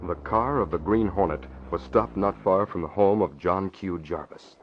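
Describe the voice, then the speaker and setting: A man's voice: sits low, moderate pace. A man narrating an old-time radio drama as the story's announcer. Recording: muffled vintage radio recording.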